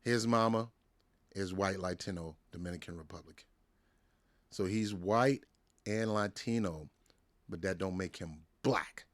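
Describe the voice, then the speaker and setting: A man's voice: deep voice, measured pace, long pauses. An American man hosts his own wrestling show in a loose, opinionated rant. He repeats his points for emphasis and stumbles into restarts as he pushes on, sounding like a younger adult talking off the cuff. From United States. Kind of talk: casual opinion rant to camera.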